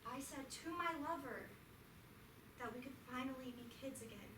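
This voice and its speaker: Woman doing a frank middle-aged wife, highish voice, earnest stage reading, taped far back in an echoey theatre. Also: moderate pace, noisy background, close to an everyday voice. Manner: earnest stage reading, rising to exasperated outbursts. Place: theatre auditorium. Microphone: distant stage pickup.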